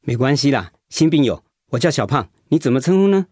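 An actor playing a determined, encouraging male cancer patient in a Mandarin radio drama, with a husky voice. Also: mid-range voice, big pitch swings, a little fast, darkish tone, dry recording, studio quality. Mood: cheerful, friendly.

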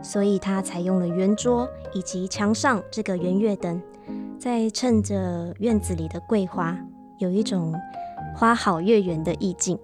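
An actress plays a young woman guide with a Taiwanese Mandarin accent. She is earnest and a little wistful, talking in a natural, hesitant way at a medium pitch. Music plays clearly under her radio drama lines. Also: measured pace, close to an everyday voice. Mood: cheerful, informative. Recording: music behind the voice.

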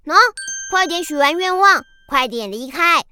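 Bossy candy fairy, very high and wildly animated, huffy scolding delivery for children's radio drama. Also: female voice, unhurried, full character voice. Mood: impatient. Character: bossy, grumpy little candy-tree fairy.

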